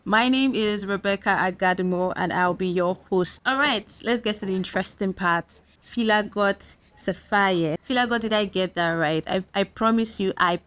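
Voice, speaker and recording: Nigerian woman hosting a podcast, chatty and upbeat, middle pitch. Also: moderate pace, few pauses, a bit bright, clear, resonant tone. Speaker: podcast host. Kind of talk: podcast interview.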